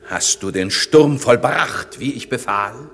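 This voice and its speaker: Radio play casting: stern sorcerer master, slow and theatrical, with wide swings of pitch. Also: male voice, expressive, fairly bright tone, slight background noise. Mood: brisk, commanding. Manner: solemn, imperious, deliberate. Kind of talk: classic German radio drama, theatrical verse delivery.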